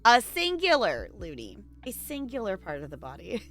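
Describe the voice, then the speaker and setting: A woman's voice: mid-range voice, wildly swooping pitch, moderate pace, fairly bright tone, soft background music. A woman hosting a livestream, chatting casually with viewers and thinking aloud in a rambling way. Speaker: livestream host. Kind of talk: casual chat with live stream viewers.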